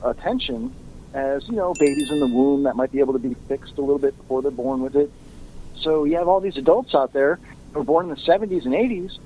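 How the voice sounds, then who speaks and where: A man's voice: on the high side, phone-quality sound, slight background noise. A man, a radio talk show host appearing as a guest, chatting casually with lots of fillers and frequent laughter. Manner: laid-back, laughs often, many fillers. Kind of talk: casual podcast banter.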